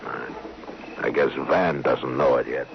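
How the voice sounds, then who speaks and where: An actor playing a stern, steady Old West marshal in a radio western, speaking firmly and plainly. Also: male voice, extremely low pitch, measured pace. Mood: calm, reassuring. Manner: firm, plainspoken. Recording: muffled old radio recording, light noise.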